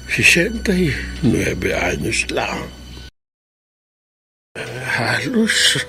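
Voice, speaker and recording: An actor playing a wistful, reflective man in his eighties from Bicol in a Filipino radio drama, speaking in a halting, musing way. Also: medium pitch, vocal fry, sharp tone, heavily acted. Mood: reflective, earnest. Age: 80s. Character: wistful, reflective 87-year-old grandfather from Bicol.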